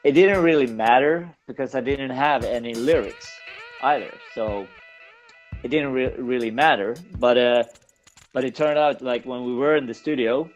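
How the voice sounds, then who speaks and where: Halting Swedish-accented man over guitar music. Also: mid-range voice. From Sweden. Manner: halting, repeats words, searches for words. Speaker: podcast guest, punk band singer. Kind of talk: casual interview.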